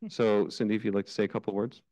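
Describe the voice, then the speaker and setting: A man's voice: fairly low pitch, flat delivery, fast talker, dark tone, no echo. A man on city staff introducing new hires at a council meeting, friendly, with frequent "uh" fillers. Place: council chambers.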